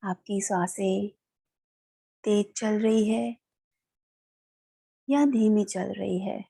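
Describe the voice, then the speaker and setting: A woman with an Indian accent leads a guided meditation in a calm, soothing manner, leaving long pauses. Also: mid-range voice, creaky, breathy edge. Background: background music.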